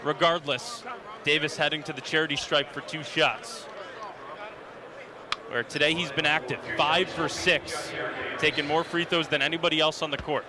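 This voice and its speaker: A man calling live basketball play-by-play on radio with an energetic, excitable delivery. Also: fairly high pitch, moderate pace, crowd noise. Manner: energetic, rising excitement on big plays. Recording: loud arena noise behind the voice. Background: basketball arena with bouncing ball.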